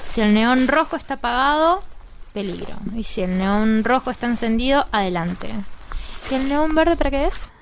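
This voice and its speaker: A woman's voice: a little high, expressive, normal speed, slight background noise. A woman with an Argentine accent playing a prim, sensible young woman in a radio drama, earnest and questioning. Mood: uncertain. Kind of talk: scripted radio drama acting.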